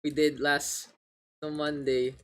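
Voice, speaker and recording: A man with a gravelly voice hosting an esports livestream, mixing casual slangy chat and game commentary. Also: fairly high pitch, level tone, takes it slowly. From Philippines. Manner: informal, gamer slang, joking asides. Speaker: esports caster, streamer hosting the match. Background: video game sounds and announcer.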